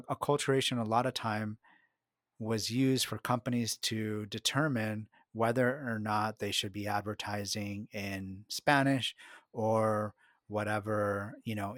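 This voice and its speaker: A man's voice: fairly low pitch, fairly bright tone, no room sound. Man speaking thoughtfully, close and dry. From United States. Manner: thoughtful, many fillers and restarts. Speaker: market researcher, podcast guest. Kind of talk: conversational interview answer.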